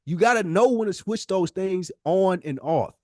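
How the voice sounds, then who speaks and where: A higher-pitched American man argues his case in a casual, heated debate. He is emphatic and slangy, pressing each point like a lecture. The sound is dry and close. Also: animated, moderate pace, no echo. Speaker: podcast co-host.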